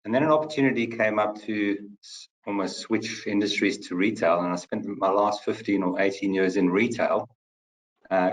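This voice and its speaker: A man's voice: on the low side, rough recording. A middle-aged man from South Africa with a South African accent, speaking as a guest on a webinar panel, hesitant with many fillers. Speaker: panel guest, company CFO.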